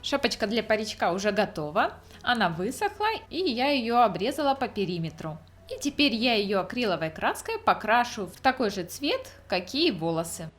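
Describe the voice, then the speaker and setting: Woman narrating a craft tutorial with lively intonation. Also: medium pitch, normal speed, few pauses. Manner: step-by-step explaining. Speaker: craft channel host.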